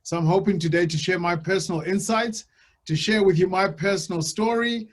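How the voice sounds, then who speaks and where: A man from Zimbabwe speaking as the guest on a webinar, with an upbeat, energetic manner over a video call. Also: high-pitched, a little fast. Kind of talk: webinar presentation.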